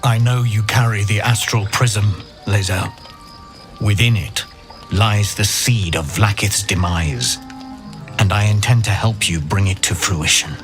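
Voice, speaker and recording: An actor playing a solemn githyanki knight and secret rebel in a fantasy game, speaking in a deliberate, formal way. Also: male voice, on the low side, faint music behind, natural voice. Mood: solemn, earnest. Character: grave githyanki knight, a secret rebel against his queen. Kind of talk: dramatic fantasy game acting.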